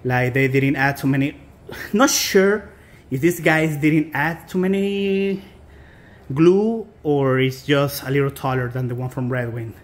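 A man with a Mexican American accent giving a casual, halting, informal product review as he talks to viewers. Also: medium pitch. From South Texas. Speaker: boot reviewer.

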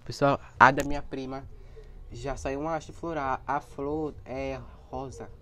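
Hoarse young man, casual plant vlogger, phone-recorded outdoors with faint background noise. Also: medium pitch, steady tone, a little slow, pushed and bright. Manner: chatty, points things out to viewers. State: hoarse from a cold. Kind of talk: casual walkthrough vlog. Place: outdoor backyard garden area. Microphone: handheld phone camera.

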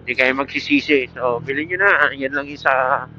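A man's voice: medium pitch, fairly even intonation, normal speed, pushed and bright. A man with a Filipino accent giving a casual, informal product review as a motorcycle vlogger, talking outdoors into a helmet headset mic while riding. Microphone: Bluetooth helmet headset mic. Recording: muffled helmet intercom mic, road noise.

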